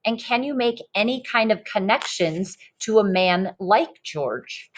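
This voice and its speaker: A woman reading a children's story aloud expressively, like a teacher, in a deliberate manner that invites listeners in. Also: medium pitch, lively intonation, low-quality recording. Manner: slow, deliberate, pauses to ask listeners questions.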